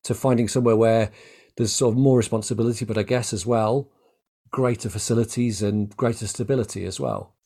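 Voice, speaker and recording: A man's voice: on the low side, steady tone, a little fast, warm tone. A man interviewing a guest in a conversational, reflective and wordy way, circling around his point.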